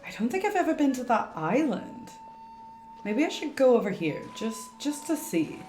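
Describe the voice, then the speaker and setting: A woman's voice: high-pitched, very animated, normal speed, a little mellow. A woman streaming a game with casual, rambling commentary, thinking aloud over game music. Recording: echoey room, faint game music. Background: faint game music.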